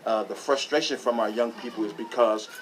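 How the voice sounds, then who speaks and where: A man in his sixties, speaking haltingly with many repeated words as a guest on a radio panel. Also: lowish voice, a little hiss or hum, poor audio. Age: 60s.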